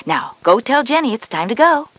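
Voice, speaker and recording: Woman as a calm, comforting mother. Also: on the high side, normal speed, husky, heavily acted. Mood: calm, reassuring. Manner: gentle, reasoning. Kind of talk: scripted educational audio drama.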